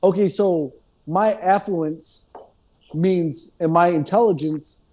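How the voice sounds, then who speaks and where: A man's voice: high-pitched, slow pace, warm tone. A man in a casual group discussion, talking in a loose, digressive way and often checking in with "you know what I mean".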